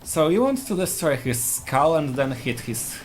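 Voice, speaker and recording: A man's voice: a little high, sing-song, measured pace. A man with a Polish accent, a speedrunner explaining his tactics plainly while he plays live. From Poland. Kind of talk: live gameplay commentary. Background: game music.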